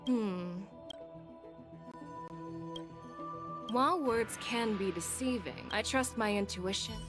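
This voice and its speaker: A woman's voice: medium pitch, measured pace, over music, lightly acted. Woman playing a confident, blunt female general. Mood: commanding. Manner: assured, direct, formal. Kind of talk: video game story dialogue.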